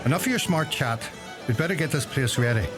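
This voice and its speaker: A man's voice: mid-range voice, expressive, fast talker, music in the background, close to an everyday voice. A man playing a gossipy, self-important village publican with a Donegal Irish accent in a radio play. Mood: grumbling.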